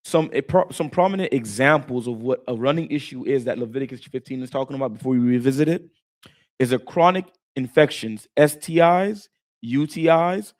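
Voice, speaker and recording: A man co-hosting a Bible study talk, explaining in a casual, animated way and stumbling over words at times. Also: mid-range voice, brisk. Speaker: co-host teaching scripture.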